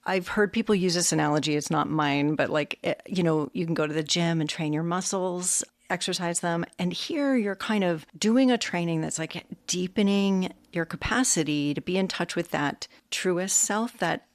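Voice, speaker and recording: A woman with a low, gravelly voice talks reflectively as a podcast co-host. She searches for words and fills her thoughts with "like" and "you know". Her voice sounds dry and close. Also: normal speed, hardly any pauses, dry recording. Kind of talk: casual reflective conversation.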